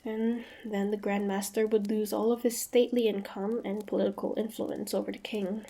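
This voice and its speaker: A woman playing a wary, sharp-tongued young demon hunter with a prickly but grudgingly softening manner, in a fantasy roleplay audio drama. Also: medium pitch, level tone, normal speed, natural voice. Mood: pensive. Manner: guarded, sharp-tongued, tsundere.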